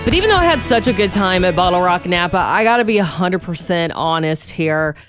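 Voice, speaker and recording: A woman's voice: lowish voice, animated, fairly quick, music in the background. A woman in her thirties co-hosting a morning radio show, with a slightly scratchy voice, chatty and candid, laughing often. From Northern California. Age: 30s. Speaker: radio co-host. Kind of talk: casual morning radio banter.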